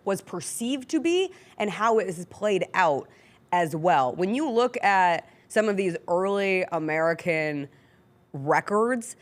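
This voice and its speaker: A woman hosting a sports talk show, giving articulate, detailed conversational commentary. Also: lowish voice.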